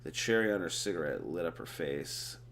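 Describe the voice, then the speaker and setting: A man's voice: low voice, sing-song, moderate pace, vocal fry, fairly bright tone, slight background noise. A man who is mildly drunk, reading a book aloud informally and stumbling over words, with casual commentary.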